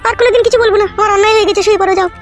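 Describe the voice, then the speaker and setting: Squeaky, bossy cartoon village wife. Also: male voice, steady tone, very fast. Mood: placating. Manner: scolding, commanding. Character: bossy, foul-mouthed young village wife. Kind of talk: amateur comic cartoon dialogue.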